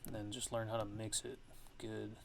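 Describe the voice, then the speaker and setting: A man talks through a music production tutorial in a fairly low, gravelly voice. His delivery is halting and laid-back, like a casual ASMR walkthrough, and his intonation stays on the flat side. Clear background noise sits behind him on a home recording.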